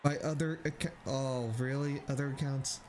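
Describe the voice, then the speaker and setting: A man's voice: mid-range voice, level tone, normal speed, a little mellow, a little hiss or hum. A man streaming a game, chatting casually and thinking aloud in a hesitant way. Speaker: live streamer. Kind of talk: casual stream chat while gaming.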